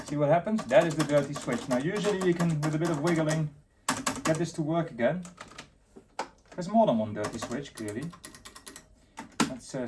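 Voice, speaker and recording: A man's voice: on the high side, noisy background. A man giving a casual, unscripted hands-on demonstration, muttering to himself as he works. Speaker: host of a tape deck repair channel.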